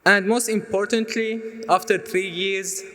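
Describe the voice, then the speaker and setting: A man's voice: high-pitched, steady tone, unhurried, pushed and bright. A man in his twenties from Syria, a native Arabic speaker with a Syrian Arabic accent, giving a prepared personal speech to an audience. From Aleppo, Syria. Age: 20s. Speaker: student speaker at an event. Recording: long hall reverb.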